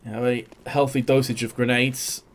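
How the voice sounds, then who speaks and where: A man's voice: medium pitch, little pitch movement, normal speed. A young man casting a live esports match, his energy rising and falling with the action. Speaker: esports caster. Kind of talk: live play-by-play commentary.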